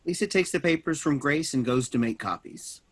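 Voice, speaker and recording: A man's voice: on the high side, lively intonation, moderate pace. A man reading stage directions as scripted narration for a staged play reading.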